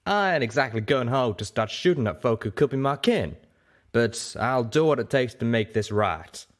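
Man doing a folksy, high cowboy pegasus. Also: animated, normal speed, close to an everyday voice. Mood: resolute. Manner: drawling country dialect. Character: folksy male pegasus cowboy, loyal and plucky.

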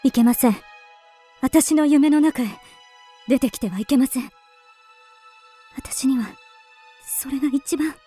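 A woman's voice: sits high, unhurried, pauses often, warm tone, soft background music. An actress playing a lonely, dreamy woman lost in romantic fantasy, with polite, theatrical delivery in a radio drama. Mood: pleading, fearful.

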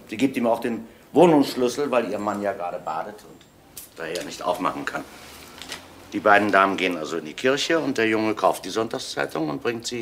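Low-voiced, methodical police inspector. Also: male voice, a little hiss or hum. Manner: deliberate, questioning, step by step. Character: methodical, courteous male police chief inspector. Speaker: actor in a radio crime play. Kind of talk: German radio crime drama acting.

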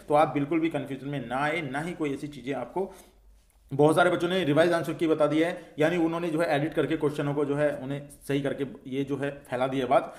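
A man's voice: a little high, a little mellow. A man coaching students in an informal explainer, repeating himself and answering his own questions reassuringly. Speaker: exam-update coach and channel host. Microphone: voice-over for a screen recording.

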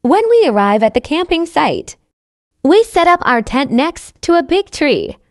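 A woman reading a scripted story for language learners, with careful enunciation and identical, measured phrasing. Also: sits high, big pitch swings, no room sound, clean studio sound. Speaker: narrator of English learning stories.

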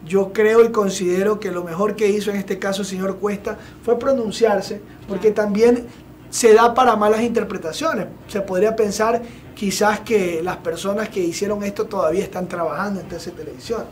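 A young man hosting a TV studio show, giving blunt, opinionated commentary with many filler pauses. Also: high voice, steady tone, darkish tone, a little hiss or hum. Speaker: TV show host. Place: TV studio set with sofa. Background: background music.

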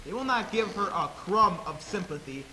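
A man's voice: high-pitched, lively intonation, moderate pace, sharp tone, heavily acted. A young man acting an anxious, loyal helper of nuns in a stage play, agitated and emphatic. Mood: agitated, bitter. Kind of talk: amateur stage acting in a high school play. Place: theater stage, indoors. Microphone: distant camera. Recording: echoey stage, hissy distant camera.

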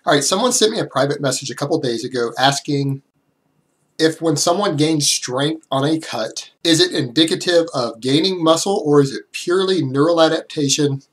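A man in his thirties, a little hoarse from a cold, explaining fitness science directly to a webcam in a small room at home. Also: on the high side, moderate pace. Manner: direct, explanatory, talks with hands. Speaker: fitness YouTuber. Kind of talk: explanatory vlog to camera.

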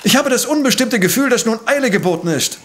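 An actor playing a rational professor detective in an audio drama, speaking precisely and emphatically in a dismissive, pedantic way. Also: male voice, very high-pitched, expressive, fast talker, heavy background noise. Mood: businesslike, commanding. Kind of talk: German audio drama acting.

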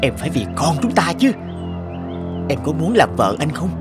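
A man's voice: a little high, very animated, moderate pace. A man voicing a greedy, pushy young schemer of a boyfriend in a cartoon. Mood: impatient. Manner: scheming, insistent. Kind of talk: cartoon voice acting. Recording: faint music behind the voice.

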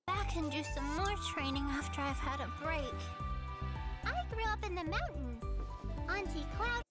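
A woman voicing a gentle, playful little girl in an anime game. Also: very high voice, expressive, normal speed. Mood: bright, earnest. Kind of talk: anime game character voice acting. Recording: loud music under game trailer dialogue. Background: music.

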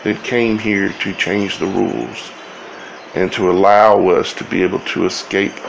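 A man giving informal scripture commentary as a video host, speaking in halting, broken phrases with long pauses. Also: lowish voice, gravelly, a little hiss or hum, rough recording.

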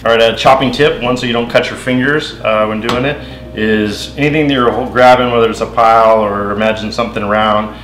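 Low-voiced relaxed man demonstrating cooking. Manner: relaxed, plain-spoken, with ums and uhs. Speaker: home cook, show co-host. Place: home kitchen, indoors. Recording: echoey kitchen with faint music. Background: background music.

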